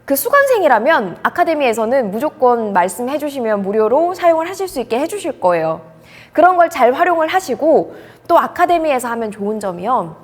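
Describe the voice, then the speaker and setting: A woman's voice: high-pitched, big-room sound. A woman broadcaster giving career advice straight to the viewers in a polite, earnest way. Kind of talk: direct-to-camera advice talk.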